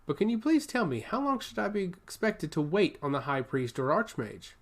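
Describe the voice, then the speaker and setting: A man acts out a male envoy in a fantasy story. His voice is fairly high and animated. He is polite and purposeful, asking direct questions with a touch of impatience. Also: normal speed, exaggerated voice. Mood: curious.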